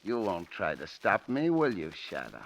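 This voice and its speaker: A man's voice: deep voice, huge pitch swings, normal speed, gravelly, exaggerated voice. An actor playing a ruthless, cocky gangster with a tough-guy manner in a 1940s radio drama. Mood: gloating, menacing. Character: ruthless, cocky gangster with a gun, boastful man. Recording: muffled old radio recording.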